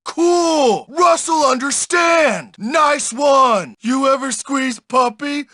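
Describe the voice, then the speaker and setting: A man voicing a huge, dim-witted, aggressive yet childlike schoolyard bully in a video game, with a loud strained voice and blunt broken English that refers to himself in the third person. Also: extremely high pitch, slow, no echo, clean studio sound, exaggerated voice. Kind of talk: video game character lines.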